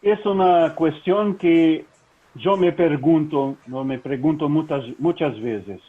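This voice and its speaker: A man from Brazil speaking Spanish with a Brazilian Portuguese accent, giving a formal lecture and mixing in Portuguese words. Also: a little high. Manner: slow, deliberate, mixes Portuguese into Spanish. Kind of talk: formal lecture over video call. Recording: tinny phone-like audio.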